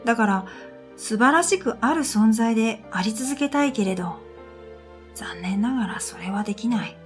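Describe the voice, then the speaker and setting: A woman reading a scripted first-person story in a reflective, measured way, as narrator of an audio drama. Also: on the high side, animated. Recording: background music under the voice. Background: music.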